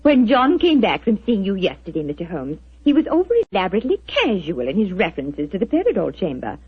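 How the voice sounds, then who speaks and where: A poised, breathy young wife. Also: female voice, medium pitch, wildly swooping pitch, quick pace, exaggerated voice. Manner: poised and lightly teasing. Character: shrewd, composed young wife. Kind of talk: 1940s radio acting. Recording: dull old radio broadcast.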